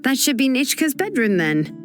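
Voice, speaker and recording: A woman playing a caring, modest young woman in a video game, relaxed and considerate in manner. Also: high voice, moderate pace, soft background music. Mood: fond, casual. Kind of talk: video game character acting.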